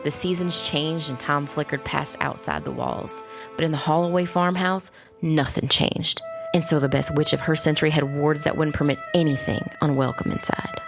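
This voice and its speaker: A woman playing a wary, resolute young witch who narrates a dark fantasy audio drama in a measured storytelling way. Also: sits low, steady tone, creaky. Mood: fearful, resolute. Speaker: first-person narrator. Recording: music bed under the voice. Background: music.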